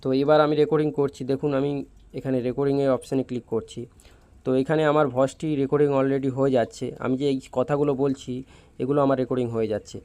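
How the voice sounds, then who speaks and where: A man's voice: mid-range voice, steady tone, fast talker, mellow. A man presenting a casual step-by-step tutorial.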